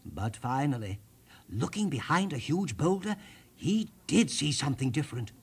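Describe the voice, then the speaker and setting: A man reading a children's story aloud in an expressive, theatrical way, lingering deliberately on the descriptions. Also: fairly high pitch, slightly rough. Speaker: storyteller host.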